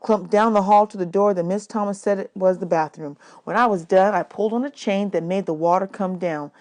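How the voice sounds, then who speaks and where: A woman reading a children's novel aloud as a teacher would, in an expressive storytelling style. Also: mid-range voice. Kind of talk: expressive audiobook read-aloud.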